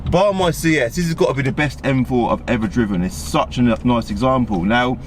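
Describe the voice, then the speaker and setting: A man with a croaky voice from a cold, chatting casually while he drives, repeating himself and tagging on questions. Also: mid-range voice, fairly quick. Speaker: car dealer vlogger. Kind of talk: casual vlog monologue. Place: inside a car while driving. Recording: loud car and road noise.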